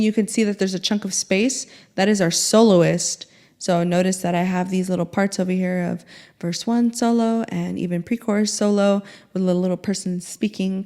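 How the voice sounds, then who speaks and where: Woman teaching how she records vocals, friendly chatty, mid pitch. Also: darkish tone. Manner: chatty, laughs easily, step-by-step. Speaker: music mentor. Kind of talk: casual teaching.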